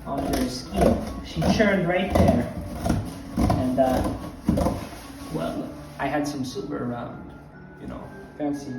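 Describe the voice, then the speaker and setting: A man doing a rambling old vampire count, slightly high voice, digressive anecdotes, very echoey room from afar, music behind. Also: recorded from a distance. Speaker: craft tutorial host in character. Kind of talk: in-character crafting tutorial. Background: music playing.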